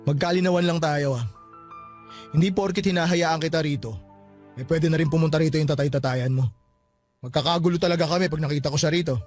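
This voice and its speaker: A man plays a bereaved son in a Filipino radio drama. His voice sits a little high, emotive and colloquial, guarded yet quick to fright. Music plays behind him. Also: steady tone, darkish tone, lightly acted. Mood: guarded, weary. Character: grieving son at his mother's wake, guarded, easily frightened. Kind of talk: Tagalog radio drama acting. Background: background music.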